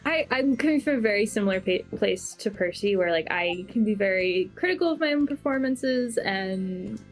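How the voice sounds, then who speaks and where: Woman with mid-pitched voice chatting over music. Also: lively intonation, moderate pace, rough recording. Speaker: actor on the show. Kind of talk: casual group chat. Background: background music.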